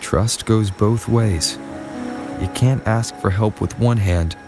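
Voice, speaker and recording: A principled male game character with a fairly low voice. He is calm and stern, speaking firmly and with measured care. Music plays clearly underneath. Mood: firm, cautioning. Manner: measured, firm. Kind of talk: video game voice acting.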